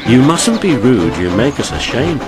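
A man's voice: medium pitch, big pitch swings, normal speed, voice pushed up, natural voice. Man voicing prim, twittering female railway coaches. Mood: ashamed. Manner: twittering, reproachful. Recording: loud music bed behind.

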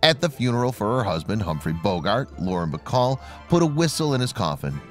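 A man reading scripted trivia narration in a measured, formal manner. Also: fairly low pitch, very animated, soft background music.

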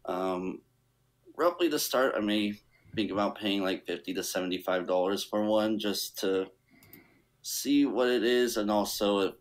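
A man's voice: on the low side, unhurried. A young man joining a podcast as a guest over a video call, answering in a hesitant, thinking-aloud way. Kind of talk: casual podcast chat.

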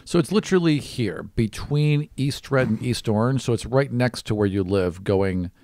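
A man's voice: medium pitch, moderate pace, darkish tone, dry recording, clean studio sound. A man in his thirties acting as game master, narrating scenes in an improvised, conversational way. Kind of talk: narrating a live tabletop roleplaying game. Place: small studio room, group around a table. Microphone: tabletop microphone.

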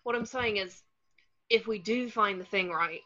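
A woman's voice: mid-range voice, normal speed, bright, forceful tone, natural voice. A woman reading the part of a loyal, blunt British teenage boy wizard at a table read on a video call. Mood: earnest. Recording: muffled lo-fi video call audio.

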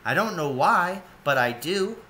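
A man's voice: fairly high pitch, expressive, a little slow, sharp tone, voice pushed up. A man voicing a good-natured young otter son in a storybook, in a simple, sincere read-aloud delivery. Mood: quietly glad.

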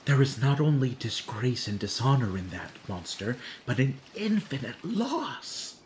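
A man's voice: mid-range voice, very animated, measured pace, a little mellow, a little hiss or hum. Man as a blustering drunkard, echoey room. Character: blustering, threatening drunken would-be king. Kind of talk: Shakespearean radio play acting.

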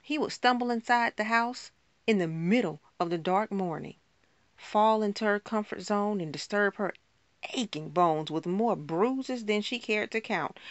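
A woman performing a spoken word poem in a rhythmic, rhyming delivery with dramatic pauses. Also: mid-range voice, no room sound.

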